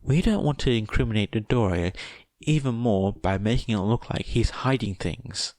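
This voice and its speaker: A man voicing a blunt, deadpan, cautious teenage girl in a story reading. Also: on the low side, normal speed, no room sound, studio quality, natural voice. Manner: blunt, flat.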